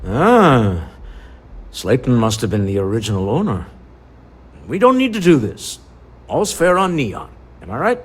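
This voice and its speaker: An actor playing a suave, wealthy, wry executive in a video game, speaking in polished, unhurried phrases. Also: male voice, mid-range voice, big pitch swings, husky. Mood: pleased, conciliatory. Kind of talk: video game dialogue acting.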